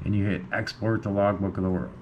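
A man's voice: lowish voice, level tone, quick pace, lo-fi sound. A man giving a casual screen tutorial, informal and a bit hesitant as he thinks aloud. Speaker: amateur radio video host. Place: indoors at a computer. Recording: clearly noisy room recording.

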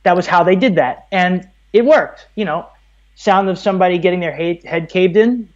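A man's voice: high-pitched, normal speed. An American man in his thirties from Brooklyn, talking at length and explaining things as a guest in a casual interview. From Brooklyn, New York, USA. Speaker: podcast guest, audio producer. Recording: slightly dull, muffled audio.

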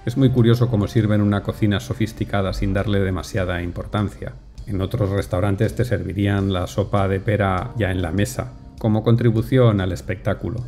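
Mellow-voiced male voice-over narrator. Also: lowish voice, fairly even intonation. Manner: measured, unhurried, descriptive. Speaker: food vlogger narrator. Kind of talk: calm scripted voice-over narration. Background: soft music.

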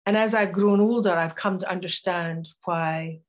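Scottish woman telling a story, low-pitched. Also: steady tone. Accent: Northeast Scottish, Doric. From northeast Scotland. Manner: storytelling, reflective, unhurried with pauses. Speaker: conference speaker, storyteller. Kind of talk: personal talk to camera. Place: home room with curtains, indoors.

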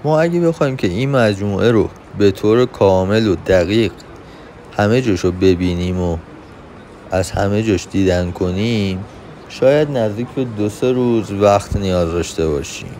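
A man's voice: on the low side, a little mellow. A man with an Iranian accent giving informational travel vlog narration as a guide, recorded with some background noise. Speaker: vlogger and tour guide.

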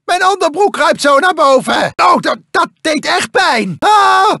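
A tiny, manic cyborg mad scientist from a cartoon video game. The voice is extremely high and gravelly, lurching wildly between self-pitying mutters and villainous shrieks. It is a very dry, close recording. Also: male voice, big pitch swings, measured pace, pushed and bright, dry recording, full character voice. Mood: whining, miserable. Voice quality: shrill, strained, gravelly.

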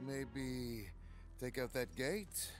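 A man's voice: medium pitch, very slow. A man playing a rugged, wry, steadfast action hero in a video game, speaking in short, informal lines. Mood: determined. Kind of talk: video game cutscene acting. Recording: very loud music underneath.

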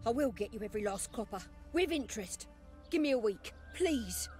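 A woman's voice: fairly high pitch, animated, normal speed, darkish tone, soft background music, close to an everyday voice. An actress playing a fiery, plain-spoken townswoman in a video game, with an earthy, blunt manner. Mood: pleading.

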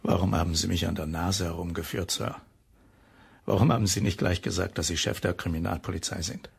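Gravelly, hot-tempered lighthouse keeper. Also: male voice, deep voice, fairly even intonation, a little fast, exaggerated voice. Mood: indignant. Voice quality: gravelly, very husky. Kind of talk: German crime radio play acting.

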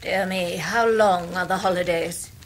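An actress playing a stern, haughty, censorious spinster in a radio drama, speaking curtly and self-righteously. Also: female voice, lowish voice, steady tone, unhurried, a little hiss or hum. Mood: disapproving. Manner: curt, severe, self-righteous. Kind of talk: 1990s radio drama acting.

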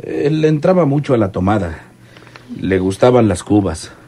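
An actor in a radionovela playing a wary informant who talks hesitantly, in folksy rural phrasing. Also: male voice, on the low side, lively intonation, moderate pace, mellow, husky. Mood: wary, confiding. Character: wary male informant recounting an overheard murder plot. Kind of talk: old radionovela acting.

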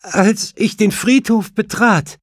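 A man's voice: high voice, no echo, studio quality, voice pushed up, full character voice. A man voicing a grieving middle-aged widow with a brittle, tearful voice in an audiobook. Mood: shaken, distressed. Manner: halting, sobbing.

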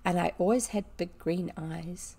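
A woman's voice: fairly low pitch, unhurried, warm tone, breathy edge. A woman playing a brave, imaginative little girl in a storybook, speaking earnestly. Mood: bright, brave.

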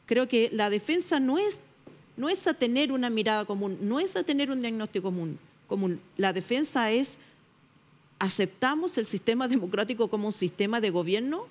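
A middle-aged woman journalist arguing her point on a television panel debate, emphatic and pausing often with fillers, recorded on a lapel mic in a studio. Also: a little high, lively intonation. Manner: emphatic, searching for words with many fillers.

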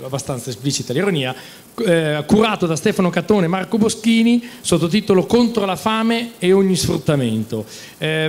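A man in his forties, hosting a live festival talk on stage with a handheld mic, brisk and welcoming. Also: fairly high pitch. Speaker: festival host. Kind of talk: live event moderation.

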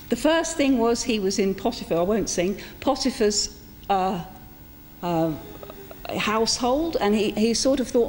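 A middle-aged woman giving an informal, reflective sermon in a conversational storytelling style, with pauses for emphasis. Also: medium pitch, darkish tone. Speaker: preacher. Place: church interior, speaking to a congregation. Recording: echoey church hall with background noise.

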